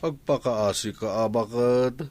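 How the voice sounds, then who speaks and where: A man's voice: medium pitch, a little slow, darkish tone, no room sound, natural voice. An actor playing a hard-drinking husband with an injured foot in a radio drama, groaning and complaining at his wife. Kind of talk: Ilocano radio drama acting.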